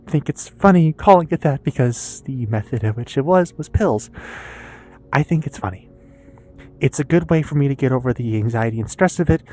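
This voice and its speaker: A woman in her early twenties with an American accent talks candidly and casually in a confessional personal vlog, rambling haltingly with pauses and some swearing. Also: deep voice, mellow. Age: early 20s. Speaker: vlogger and streamer.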